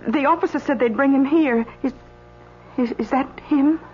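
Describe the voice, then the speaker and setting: Woman playing an anxious, stammering, high-voiced woman. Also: a little hiss or hum, close to an everyday voice. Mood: anxious, hesitant. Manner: halting, stammering, pleading. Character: anxious, timid woman who witnessed an accident. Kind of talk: radio drama acting. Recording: muffled, slightly humming radio recording.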